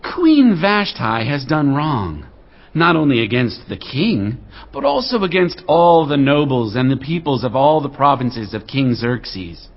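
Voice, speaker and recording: A man's voice: medium pitch, very animated, a little slow, lo-fi sound. A man playing an indignant adviser at an ancient royal court in a dramatized audio Bible, declaiming with emphatic, oratorical delivery.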